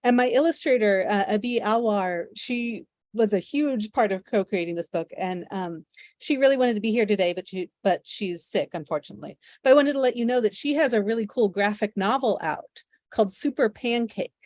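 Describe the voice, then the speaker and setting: A woman's voice: medium pitch, a little fast, darkish tone, no room sound, studio quality. A woman from Missouri, a children's book author, giving informal thanks over a video call. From small town in Missouri, United States.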